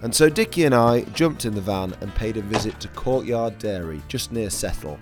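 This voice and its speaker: A man with a croaky, worn voice, a chef hosting his own podcast in upbeat scripted narration. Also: lowish voice, lively intonation, moderate pace, music in the background.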